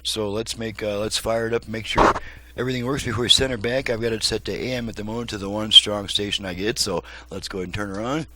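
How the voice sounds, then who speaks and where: A man's voice: lowish voice, fairly even intonation, fast talker, sharp tone. A slightly tired man giving a casual, rambling, folksy demonstration as a repairman showing his work. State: a bit fatigued. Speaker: car radio repairman presenting his work.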